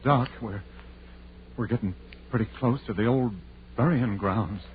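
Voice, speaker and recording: An actor playing a timid, superstitious country sidekick in old-time radio horror, with a folksy, hesitant way of talking. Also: male voice, mid-range voice, unhurried, lightly acted. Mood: nervous, reluctant. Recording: tinny, noisy vintage radio recording.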